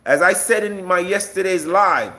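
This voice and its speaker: A man's voice: sits high, normal speed, sharp tone. A man delivering an impassioned, combative political monologue to online viewers, emphatic and full of rhetorical questions. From Jamaica. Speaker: live-stream political commentator.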